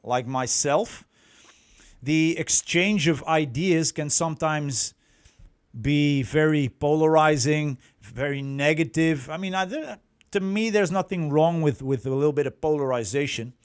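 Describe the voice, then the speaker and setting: A middle-aged man with a Dutch accent presenting as a coach, explaining things to viewers and often pausing to search for words. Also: fairly high pitch, clean tone. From Netherlands. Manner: halting, word-searching, self-correcting delivery. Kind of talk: explanatory online talk to viewers.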